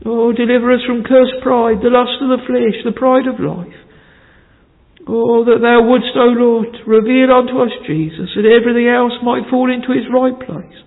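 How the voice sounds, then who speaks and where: Very high-pitched man, pleading extempore prayer, tinny phone-like sound, long church echo. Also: fairly even intonation, moderate pace. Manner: pleading, fervent, with repeated interjections. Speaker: minister leading prayer. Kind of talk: extempore congregational prayer in old-fashioned language.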